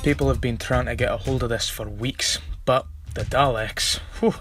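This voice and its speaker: A man playing a nervous, excitable market trader in an audio drama, with a jittery, emotional delivery. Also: medium pitch, normal speed, lightly acted. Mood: wary, nervy. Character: nervous male market trader, exiled time traveller, excitable. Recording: music behind.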